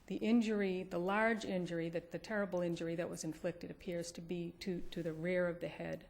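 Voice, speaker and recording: A woman defense attorney with a gravelly, creaky voice sitting slightly low argues before appellate judges. She speaks carefully and often halts to restart her sentences. The setting is a courtroom. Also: flat delivery, brisk, a little mellow. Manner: hesitant, restarting phrases, careful. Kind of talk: appellate oral argument.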